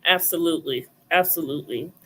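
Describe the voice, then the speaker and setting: A woman's voice: low-pitched, fairly quick, a bit bright, poor audio. A woman hosting a conversational interview, putting questions to a guest. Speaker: interviewer, host.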